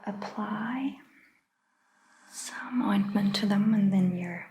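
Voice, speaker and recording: A woman performing a soft-spoken ASMR roleplay as a caring vampire doctor, whispering breathily in a gentle, reassuring manner. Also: mid-range voice, slight background noise. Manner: gentle, reassuring, slow and deliberate.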